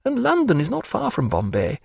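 A man's voice: high voice, huge pitch swings, normal speed, gravelly, darkish tone, slightly rough, no echo, clean studio sound, pitched above the performer's own voice, heavily acted. A man reading a spirited, proud young woman in an audiobook, fiery and theatrical in manner, swinging from bitter to tender.

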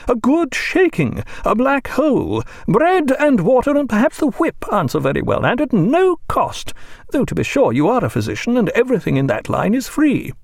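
A man voicing a domineering, meddling older woman who talks in a shrill, overbearing, lecturing way. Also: wildly swooping pitch, moderate pace, vocal fry, no echo, studio quality, exaggerated voice. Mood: imperious. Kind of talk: audiobook character voice.

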